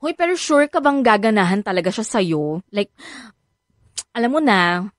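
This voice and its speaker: An actress with a Filipino accent playing a sassy, frank best friend in a radio drama, teasing and exclaiming in slang. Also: female voice, medium pitch, sing-song, normal speed, no room sound, studio quality, natural voice. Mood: frank scolding.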